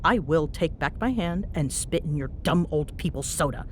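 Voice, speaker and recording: A woman's voice: low-pitched, animated, moderate pace, slight background noise. A woman playing a young tsundere ghost hunter, teasing, bossy and secretly fond, in an audio roleplay with sarcastic banter. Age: young adult. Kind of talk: audio roleplay spoken to a listener.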